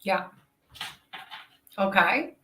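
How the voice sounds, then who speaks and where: A woman's voice: medium pitch, expressive, very slow, sharp tone. A woman with an Irish accent hosting a casual interview on a video call, thinking aloud and affirming as she goes. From Ireland. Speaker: interview host. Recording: roomy, echoey sound.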